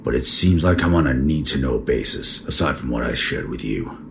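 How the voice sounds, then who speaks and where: Guy doing a stern guarded army captain in space, super deep n gravelly, audio drama. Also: lively intonation, normal speed, noisy background, heavily acted. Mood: grave, confiding.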